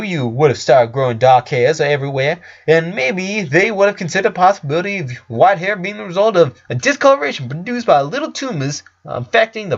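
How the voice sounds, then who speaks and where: A man's voice: fairly high pitch, a bit bright, dull audio, pitched above the performer's own voice. A man voicing a blunt, glib doctor in a story reading, lecturing in a rambling, sarcastic way. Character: glib, blunt, morbidly curious male doctor.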